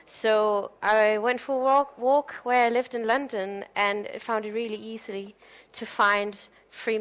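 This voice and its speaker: Smooth-voiced young woman from Denmark. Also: medium pitch, fairly bright tone. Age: young adult. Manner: informal, with frequent fillers. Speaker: panel speaker, designer. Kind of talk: conference presentation.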